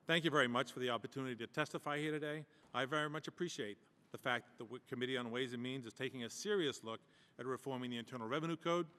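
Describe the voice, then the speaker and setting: A man's voice: medium pitch, sharp tone. Guy reading his testimony, earnest, dropping little asides, long echo off the hall. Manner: earnest and emphatic, with brief asides. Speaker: small-business tax preparer and teacher testifying as a witness. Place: large hearing room.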